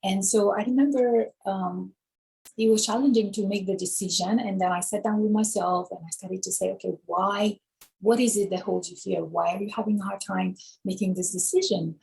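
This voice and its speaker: A woman from Romania, a guest author, answering interview questions thoughtfully with many pauses and ums. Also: medium pitch, steady tone, creaky, a little mellow, slightly rough, rough recording.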